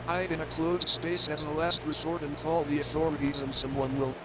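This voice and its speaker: A man's voice: fairly high pitch, level tone, fairly quick. A man giving scripted instructional narration in a formal, explanatory way. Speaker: narrator of horror creature guide. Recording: very loud background noise.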